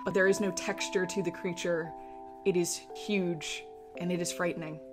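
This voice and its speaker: A woman's voice: lowish voice, steady tone, moderate pace. Woman game master over music. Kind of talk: narrating a tabletop fantasy game. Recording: music playing behind.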